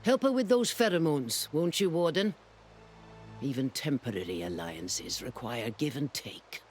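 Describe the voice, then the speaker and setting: A man's voice: on the high side, animated, unhurried, soft background music. A man voicing a wry, courteous and shrewd companion in a fantasy game, with theatrical phrasing. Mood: coaxing.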